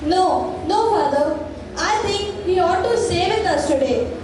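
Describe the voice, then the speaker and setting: Stage play daughter, female actor: kind, earnest, pleading, very high mellow voice, slow declamation, strongly echoing hall. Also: expressive. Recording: very echoey hall, faint background noise.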